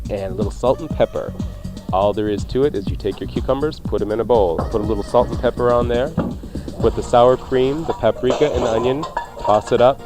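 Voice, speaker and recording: A man hosting a radio cooking show, talking through recipes in a casual, conversational way with jokey asides. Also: medium pitch. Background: faint music.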